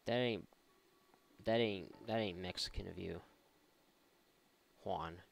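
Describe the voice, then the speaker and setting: A man's voice: sits low, slow, vocal fry, a little hiss or hum. A man giving casual, rambling and sarcastic let's play commentary, swearing freely as he goes. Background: video game ambience.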